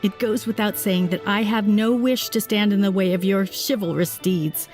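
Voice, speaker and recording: Casting: actress as a stern, composed female game attendant, mid-pitched, measured and formal, faint music beneath. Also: steady tone, darkish tone, lightly acted. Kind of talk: video game voice acting.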